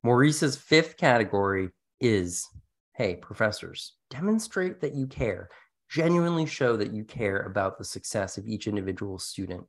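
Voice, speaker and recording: A man's voice: on the low side, big pitch swings, normal speed. A man co-hosting an educational podcast, talking through teaching advice in an earnest, explanatory, conversational way. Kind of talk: conversational teaching-tips discussion.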